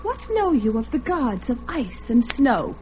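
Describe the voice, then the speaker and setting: A cruel ice maiden in an old radio play, her high voice swooping as she mocks. She talks slowly, a little off mic, over faint hiss. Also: big pitch swings, darkish tone. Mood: mocking. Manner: teasing, mocking, slow.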